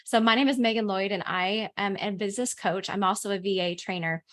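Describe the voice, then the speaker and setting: A woman's voice: medium pitch, fairly even intonation, a little fast. A woman from the US, a business coach, hosting a webinar over a video call in an upbeat, enthusiastic way. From United States.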